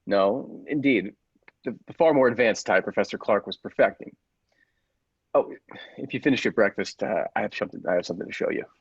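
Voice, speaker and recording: A man playing a grave, persuasive scientist's assistant in a radio play, deliberate and theatrical, with secretive zeal. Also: lowish voice, slightly rough, no echo, muffled recording. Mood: intense, revealing. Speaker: podcast actor.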